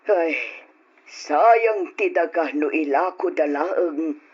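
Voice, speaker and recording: An actress playing an older mother and wife in a radio drama, soft and expressive, sighing with worry. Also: low-pitched, slow, breathy edge, full character voice. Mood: worried. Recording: lo-fi old radio drama recording.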